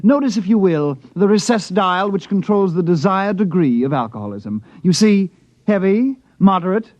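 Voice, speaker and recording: A man's voice: sits high, expressive, normal speed, darkish tone, no echo. An actor playing an eager, politely unflappable appliance salesman with fussy, polite patter, in mid-century radio drama style. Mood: polite, pitching. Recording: dull, dry vintage radio recording.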